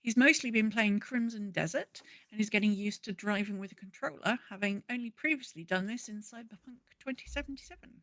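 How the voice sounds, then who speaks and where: A woman's voice: mid-range voice, brisk, few pauses, fairly bright tone, no room sound. Woman cohost just chatting away, really dry sound no room on it. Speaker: podcast co-host. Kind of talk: casual chat, reading listener updates.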